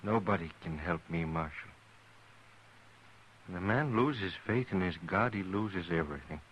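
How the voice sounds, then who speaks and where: An actor playing a broken older preacher who has lost his faith, speaking quietly and wearily in a 1950s radio drama. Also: deep voice, lively intonation, normal speed. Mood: despairing. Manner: quiet, weary, resigned. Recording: dull radio recording with hiss.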